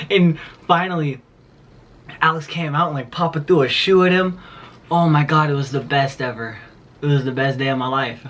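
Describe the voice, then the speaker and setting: A man's voice: a little high, a bit bright. A man in his twenties telling a childhood story in a casual vlog, rambling with lots of fillers and laughing as he goes. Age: 20s. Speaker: vlogger.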